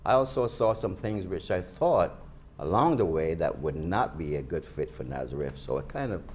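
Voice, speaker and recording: A man's voice: low-pitched, expressive, moderate pace, darkish tone. An older man from Barbados, a pastor, answering interview questions earnestly with many restarts.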